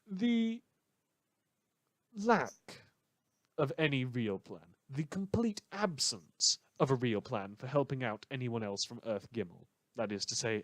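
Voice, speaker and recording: A man's voice: mid-range voice, dry recording, natural voice. A man performs a wry late-night radio host from an audio drama, broadcasting from the countryside. He rambles through digressions with theatrical sarcasm. The sound is dry, with little room around the voice. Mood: sardonic.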